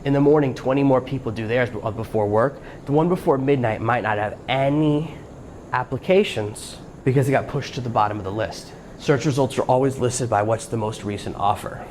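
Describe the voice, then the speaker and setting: A man's voice: medium pitch, brisk, a little mellow, a little hiss or hum. A man hosting a solo podcast, talking through practical steps in a plain, coaching way. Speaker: podcast host. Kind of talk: solo podcast advice talk.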